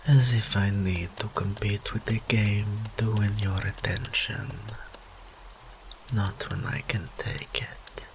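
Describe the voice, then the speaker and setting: A man voicing a seductive, arrogant incubus demon in an intimate roleplay audio drama, breathy and often whispering, with teasing pauses. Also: fairly low pitch, fairly even intonation, background noise. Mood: taunting, seductive. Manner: slow, teasing, drawn-out phrases with pauses.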